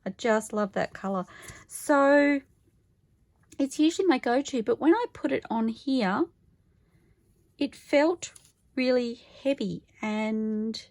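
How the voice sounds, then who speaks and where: A woman with a croaky voice, chatting casually to camera about her craft project, thinking aloud and correcting herself as she goes. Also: fairly high pitch, a little mellow, dry recording. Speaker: crafter vlogging her junk journal project. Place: home craft table, filmed from overhead.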